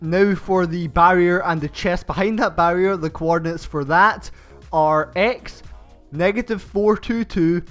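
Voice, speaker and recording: Young man, high voice, stuffy nasal hay-fever sound, methodical tutorial host, faint music underneath. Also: fairly bright tone. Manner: slow, methodical step-by-step instructions. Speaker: channel host. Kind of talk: game build tutorial voice-over.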